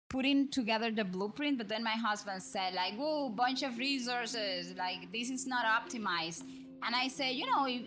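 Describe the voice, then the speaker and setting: A woman's voice: a little high, rough recording. A woman chatting casually as she hosts a game livestream, talking straight to viewers and often checking in with tag questions. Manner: conversational, addresses viewers with frequent tag questions. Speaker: live streamer, host. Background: background music.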